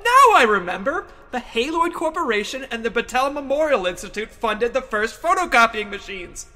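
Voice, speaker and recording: An actor playing an excitable, earnest know-it-all secret agent in a theatrical audio drama. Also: male voice, extremely high pitch, a little fast, exaggerated voice. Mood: explaining. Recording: long echoing reverb.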